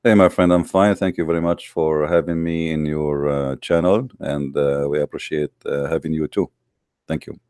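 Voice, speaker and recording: A Middle Eastern man with an Arabic accent, a guest on an audio call, talking haltingly with many fillers. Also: very deep voice, fairly even intonation, a little mellow, clear, resonant tone, no echo, clean studio sound. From Middle East.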